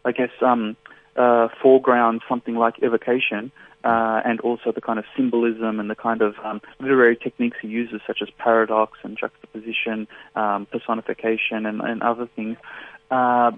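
Male translator, Farsi first language, phone-line guest, flat and hesitant delivery. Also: mid-range voice, fairly quick, no echo. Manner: thoughtful, many fillers and restarts. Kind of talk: radio interview guest.

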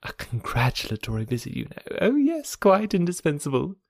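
A man's voice: fairly high pitch, huge pitch swings, brisk, gravelly, no room sound, clean studio sound, pitched above the performer's own voice, heavily acted. A man voicing a boastful, self-important young married lady in an audiobook of a classic novel, with an affected, showy, patronising delivery. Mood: smug, vivacious.

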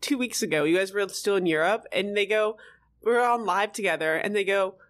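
A woman in her twenties co-hosting a podcast, speaking in a flat, low-effort, mumbling way. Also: fairly quick. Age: 20s. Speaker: podcast co-host. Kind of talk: casual chat.

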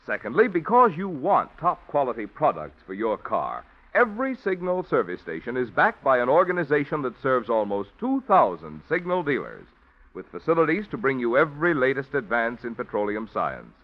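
Smooth lively radio announcer man. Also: medium pitch, normal speed. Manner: smooth, persuasive. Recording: dull old radio broadcast with music.

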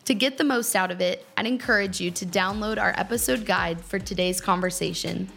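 A woman reading a scripted podcast introduction in a bright, promotional way. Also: mid-range voice, fairly quick, vocal fry. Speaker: podcast announcer.

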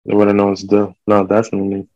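A man's voice: on the low side, monotone, fast talker, warm tone, no echo, muffled recording. A man in casual conversation, talking informally with street slang.

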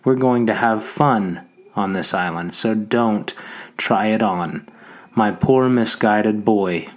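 A man voicing a menacing talking pig's head that mocks, coaxes and threatens, at a slow, measured pace. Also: on the low side. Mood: threatening. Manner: mocking, coaxing then threatening.

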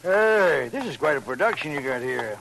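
A brash provincial policeman in an old radio crime play. His voice is forceful and a bit high, always needling and bragging with mocking familiarity. The recording has faint vintage noise. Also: big pitch swings, moderate pace, vocal fry, full character voice, low-quality recording. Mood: boastful, needling. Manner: mocking, over-familiar, prodding. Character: brash, needling, boastful small-city policeman. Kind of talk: 1950s radio crime drama acting.

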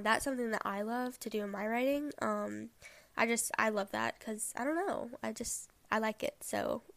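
Chatty young woman with a gravelly edge. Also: a little high, no room sound. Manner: chatty, many "like" and "um" fillers. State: a little sick. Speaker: podcast host. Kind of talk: casual chat, album review.

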